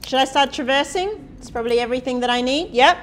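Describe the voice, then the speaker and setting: A woman giving a live coding lecture, talking loudly and quizzing the class as she types. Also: high voice, fast talker. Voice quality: loud voice. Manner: chatty, asks the class questions, thinks aloud while typing. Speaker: university programming lecturer. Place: lecture hall.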